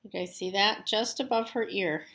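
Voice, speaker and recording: A woman's voice: mid-range voice, moderate pace, a bit bright. A woman teacher reading a storybook aloud expressively, with casual asides spoken directly to young listeners.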